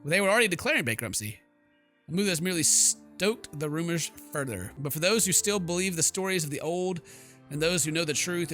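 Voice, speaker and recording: A man's voice: a little high, pushed and bright. A man hosting a gaming video, reading story text aloud in a dramatic way and breaking off into casual, jokey asides. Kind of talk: let's play, reading game text aloud with casual reactions. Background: game music.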